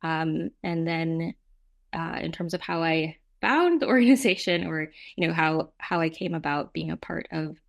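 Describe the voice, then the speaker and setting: A woman's voice: low voice, expressive, moderate pace, vocal fry. A middle-aged woman from Jamaica answering interview questions conversationally, in a reflective, explanatory way with frequent asides. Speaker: nonprofit representative, interview guest.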